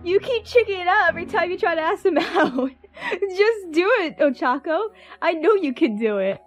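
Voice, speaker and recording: A woman's voice: very high voice, animated, moderate pace, soft background music. A woman voicing a peppy, teasing teenage best friend in an anime roleplay audio drama, with a playful manner.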